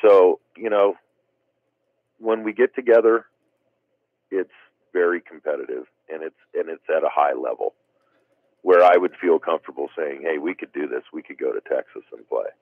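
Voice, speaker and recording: A man's voice: rumbling low, moderate pace, long pauses, no echo. A man speaking as a guest in a casual interview, rambling with many "you know" fillers. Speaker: guest wiffle ball player. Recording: tinny phone line.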